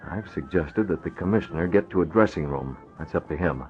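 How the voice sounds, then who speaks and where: An actor playing a resolute, shrewd masked vigilante in an old radio drama. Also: male voice, sits low, brisk, soft background music, lightly acted. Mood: low, plotting. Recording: dull old radio recording.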